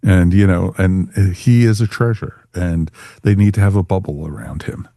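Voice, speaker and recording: Deep, mellow-voiced male podcast host. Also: moderate pace, vocal fry, dry recording, clean studio sound. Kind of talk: casual review discussion.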